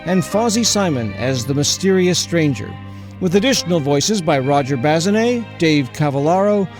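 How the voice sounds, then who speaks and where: Guy reading podcast credits from a script, a bit higher pitched, soft music behind. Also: expressive, moderate pace. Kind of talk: scripted narration.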